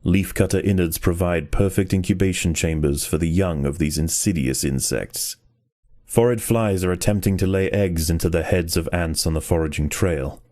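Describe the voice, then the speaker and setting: A man performing a solemn nature documentary narrator for a game, measured and ominous in delivery. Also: deep voice, a little mellow, dry recording, clean studio sound, natural voice. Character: grave nature documentary narrator of ant wars.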